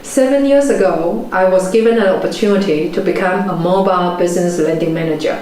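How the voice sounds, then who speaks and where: A woman's voice: fairly low pitch, a little mellow. Chinese-accented woman in an echoey room. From China. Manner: measured, earnest storytelling delivery. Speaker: property investment mentor. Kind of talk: motivational webinar talk. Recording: very echoey room, faint background noise.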